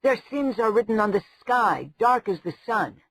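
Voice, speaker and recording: Man putting on a sharp scornful adventurer lady, raised pitch, husky edge. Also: animated, moderate pace, heavily acted, poor audio. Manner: scornful, darkly wry.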